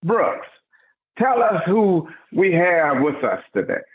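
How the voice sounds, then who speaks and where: Slow man over a tinny, echoey line. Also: high voice, big pitch swings. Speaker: event host.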